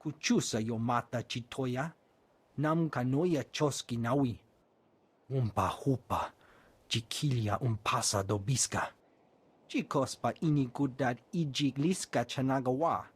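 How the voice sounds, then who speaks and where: An earnest alien in a video game. He speaks an invented language in choppy syllables, mid-pitched, with a rough, husky tone. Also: measured pace. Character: talkative male alien chattering in an invented language.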